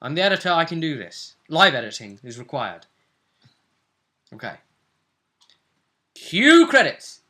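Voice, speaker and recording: A man hosting a game recap show as game master, chatting in an excitable, theatrical and playful way. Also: a little high, a little slow, pauses often. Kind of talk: animated chat recapping a tabletop role-playing game.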